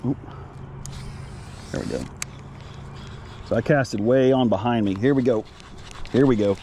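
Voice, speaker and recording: An American man with a mid-pitched voice talks slowly and casually, like a folksy fishing vlogger addressing his viewers. He is recorded outdoors in a kayak on an action camera, with faint background noise. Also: warm tone. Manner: folksy, unhurried, talking to viewers while fishing. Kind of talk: casual fishing vlog chat. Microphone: action camera at chest or head.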